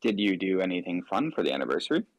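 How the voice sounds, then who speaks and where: A man's voice: sits low, big pitch swings, racing, no echo. A man hosting a casual interview, friendly and rambling with many fillers. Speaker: interview host.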